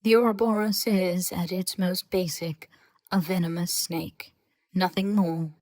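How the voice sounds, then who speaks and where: A woman playing an aloof, sarcastic researcher who lectures in a measured, formal and dry way in an audio story. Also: fairly low pitch, fairly even intonation, darkish tone, no room sound, lightly acted. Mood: measured, lecturing. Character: aloof, sarcastic, scholarly government researcher speaking through voice-disguising charm. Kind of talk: scripted audio fiction dialogue.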